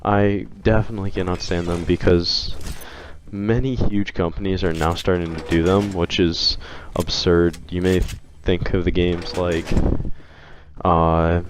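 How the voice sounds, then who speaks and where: A young man with a hoarse voice from being sick, rambling through a casual opinion vlog with frequent restarts. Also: low voice, flat delivery, unhurried, background noise. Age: young adult. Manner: rambling, hesitant, many restarts. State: hoarse from illness. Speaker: video game commentator.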